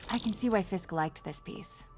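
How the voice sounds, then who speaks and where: A woman's voice: medium pitch, moderate pace, soft background music, lightly acted. An actress playing a curious young woman reporter in a video game, friendly on the surface but persistently probing. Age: young adult. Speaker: undercover reporter.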